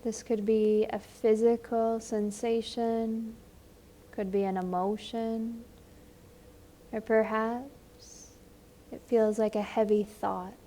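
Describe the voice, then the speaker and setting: A woman leading a guided meditation as a teacher, speaking gently and soothingly, with unhurried phrases and long pauses. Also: a little high, flat delivery, slight background noise.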